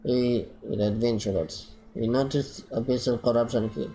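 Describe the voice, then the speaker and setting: A man reading video game dialogue aloud as he plays, halting and repeating phrases as he goes. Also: mid-range voice, fairly even intonation, dark tone, poor audio. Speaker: gaming streamer. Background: game music.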